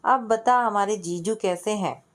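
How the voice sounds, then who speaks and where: A woman's voice: medium pitch, a little slow, close to an everyday voice. Young female student, played by a woman narrator: playful, curious, caring, insistent, questioning delivery for a family story. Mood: teasing.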